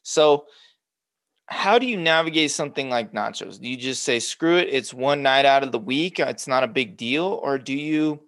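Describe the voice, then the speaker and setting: A man's voice: medium pitch, normal speed, a bit bright, no echo. A man from Los Angeles hosting a casual interview, asking rambling, restarting questions with enthusiasm. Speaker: host.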